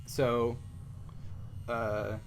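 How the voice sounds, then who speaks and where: A man running a casual tabletop sci-fi roleplay as game master, halting and pausing to find his words. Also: medium pitch, little pitch movement, slight background noise.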